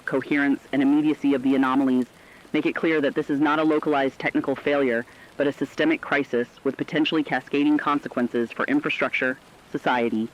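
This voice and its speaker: A man's voice: mid-range voice, close to an everyday voice. A man playing a serious crisis correspondent in a radio drama, giving formal scripted analysis on a dull, lo-fi recording.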